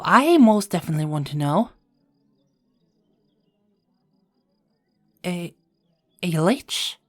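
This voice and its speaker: A woman playing a caring, dutiful young queen in an ASMR roleplay, speaking formally and softly with small hesitant stammers. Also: lowish voice. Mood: curious. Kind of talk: ASMR roleplay, scripted character acting.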